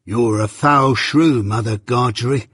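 A man voicing a surly, jealous journeyman blacksmith with a gruff growl and grudging rustic speech, in a storybook reading. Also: medium pitch, a little slow, fairly bright tone, dry recording, studio quality, long reverb tail. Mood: menacing. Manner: rustic dialect, grudging and argumentative.

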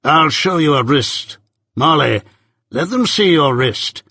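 A man voicing a domineering older gentleman lawyer in an audiobook, speaking slowly and emphatically with deliberate command. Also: a little high, lively intonation, husky, no echo, studio quality, pitched above the performer's own voice, heavily acted. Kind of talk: audiobook character voice.

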